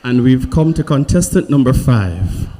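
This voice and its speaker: Brisk Jamaican man announcing contestants. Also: mid-range voice, lively intonation, mellow, a faint crowd, slight background noise. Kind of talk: event announcing.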